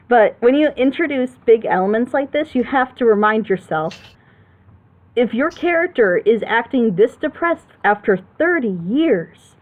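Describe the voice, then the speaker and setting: A woman with a mid-pitched voice talks through her critique in a casual way. She is emphatic and opinionated, laying out her reasoning at length. The sound is narrow and thin, like a phone line. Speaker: panelist on a reaction show. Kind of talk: casual critical commentary on storytelling.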